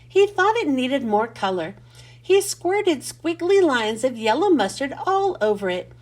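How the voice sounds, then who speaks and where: A woman reading a children's storybook aloud as host, with expressive, clearly enunciated delivery. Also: high-pitched, a little slow.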